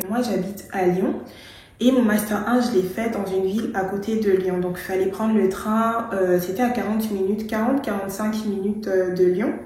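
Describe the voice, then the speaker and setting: A woman's voice: medium pitch, fairly even intonation, normal speed, a little mellow, a little hiss or hum. Chatty young woman, echoey room. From Gabon. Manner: chatty, with fillers and some English words. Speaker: vlogger. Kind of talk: casual storytime.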